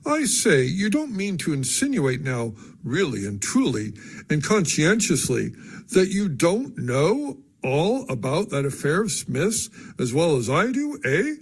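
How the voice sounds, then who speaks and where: A man voicing an affected society gentleman who draws out his syllables in a peculiar, drawling way. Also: fairly high pitch, wildly swooping pitch, unhurried, slightly rough, full character voice. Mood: gossipy, insinuating.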